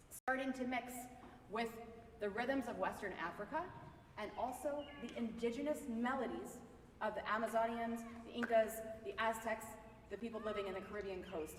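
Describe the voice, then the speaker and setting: A woman harpist giving a chatty, explanatory spoken introduction at a live recital, with a loud voice and "okay?" asides. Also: on the high side, slight background noise. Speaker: concert harpist. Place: large reverberant shrine hall, indoors. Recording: echoey hall, voice from far off.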